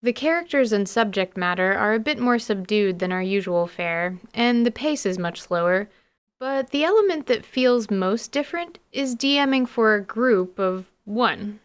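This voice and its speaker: Female podcast host and game master, crisp medium-pitched voice, articulate scripted narration with a dry wit. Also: expressive, moderate pace.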